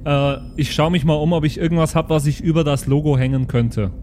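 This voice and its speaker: A man's voice: on the high side, steady tone, fairly quick, a little mellow. A man playing a tabletop role-playing game, chatting casually and improvising his character's plans. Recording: background noise and room ambience.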